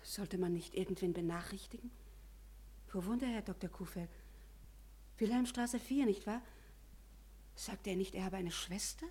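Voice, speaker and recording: An actress playing a conscientious, gently insistent office secretary in a 1950s German radio play, speaking earnestly and respectfully. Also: female voice, medium pitch, normal speed, pauses often, slightly rough, close to an everyday voice. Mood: concerned, pleading.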